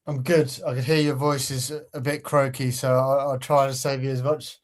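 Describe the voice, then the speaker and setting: Laid-back man co-hosting a fan podcast, steady and low-key. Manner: easygoing, fills pauses with um. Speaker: co-host of a football fan channel. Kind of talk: casual podcast chat.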